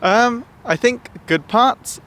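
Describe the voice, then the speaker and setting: A man's voice: extremely high pitch, slow, sharp tone, a little hiss or hum. An easygoing man answering a street interview, repeating words as he thinks. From England. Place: outdoors on a city street.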